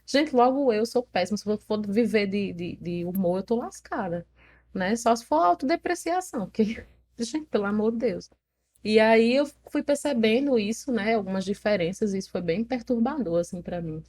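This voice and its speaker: A young woman with a Northeastern Brazilian accent, telling her story in a casual interview in an animated, frank way, laughing at herself. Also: medium pitch, moderate pace, breathy edge. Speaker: poet, interview guest.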